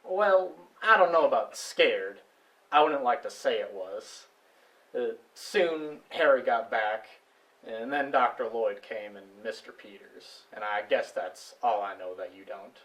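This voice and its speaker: Heard a little way from the microphone, this is a plainspoken farmer witness acted by a man in a stage play. He has a mid-pitched voice and tells his account in a halting, folksy manner. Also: a bit bright, recorded from a distance, close to an everyday voice, low-quality recording. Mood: earnest, uneasy. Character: plainspoken male farmer witness recounting a discovery. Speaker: stage actor. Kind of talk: amateur stage play dialogue.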